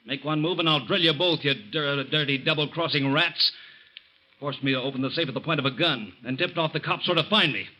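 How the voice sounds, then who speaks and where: A scheming safecracker who rattles off tough-guy talk fast, with a bright, tense edge to his voice. Every line sounds quick and hard. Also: on the high side, poor audio. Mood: menacing. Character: cocky safecracker crook, bitter and scheming. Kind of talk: 1940s radio acting.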